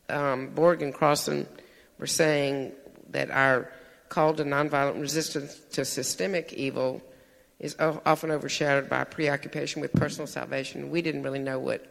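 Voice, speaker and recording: A man's voice: on the high side, vocal fry, fairly bright tone, big-room sound. A man reporting his table's discussion to a church group, earnest and searching for words. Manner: earnest, searching, restarts often.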